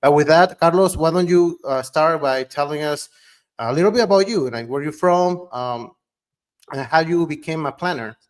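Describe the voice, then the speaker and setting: A man hosting a conversational interview, speaking in a halting way and rephrasing his questions as he goes. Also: a little high. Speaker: interviewer, host, urban planner.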